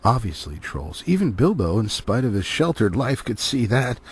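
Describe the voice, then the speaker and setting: A man narrating a storybook expressively, with dramatic pauses and drawn-out phrasing. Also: medium pitch, very animated, slightly rough. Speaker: audiobook reader.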